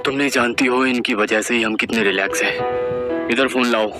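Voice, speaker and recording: A man's voice: mid-range voice, fairly even intonation, fairly quick, lightly acted. A man voicing an earnest, worried father in a horror story. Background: background music.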